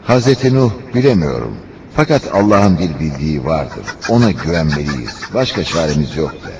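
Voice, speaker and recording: A man's voice: extremely low pitch, very animated, normal speed, background noise, exaggerated voice. A man narrating a religious cartoon, relaying a prophet's words in a solemn, declamatory, preaching manner. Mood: calm, assured. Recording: muffled cartoon soundtrack with music.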